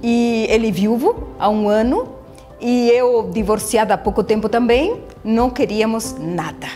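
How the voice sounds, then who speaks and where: A middle-aged woman from Argentina with an Argentine Spanish accent, whose native language is Spanish, telling her personal faith story in an earnest, halting way with restarts and repeated words. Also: fairly high pitch. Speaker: church member giving testimony. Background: soft background music.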